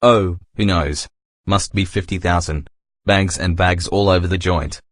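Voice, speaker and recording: Actor as low, laconic postal sidekick. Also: male voice, fairly even intonation, unhurried, no echo, clean studio sound. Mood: offhand. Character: laconic working-class postal worker sidekick. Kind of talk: radio play acting.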